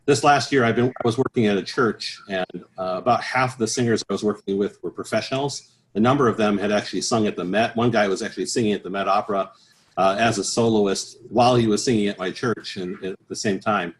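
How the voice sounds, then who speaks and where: A man speaking as an interview guest, explaining things conversationally as a choral professional, with halting, repeated phrases. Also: on the low side. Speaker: interview guest, professional bass singer and choir director.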